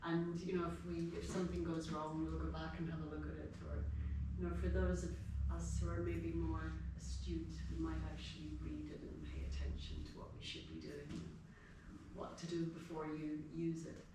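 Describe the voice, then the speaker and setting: A middle-aged woman with an Irish accent, giving an informal spiritual class in a measured, explanatory way. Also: deep voice. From Ireland. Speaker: guest speaker giving a devotional class. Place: house gathering room, indoors. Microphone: distant from the speaker. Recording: echoey room, distant mic, noisy.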